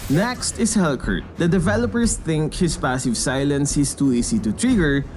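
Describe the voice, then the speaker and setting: Man narrating game updates, lively higher pitch. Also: moderate pace. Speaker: narrator of game patch notes. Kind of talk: scripted explainer narration.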